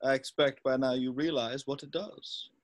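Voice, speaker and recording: A man playing a wise, gentle old wizard headmaster in a scripted read, speaking in measured, formal phrases. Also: mid-range voice, steady tone, lightly acted. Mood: gentle, solemn. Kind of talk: scripted table read acting.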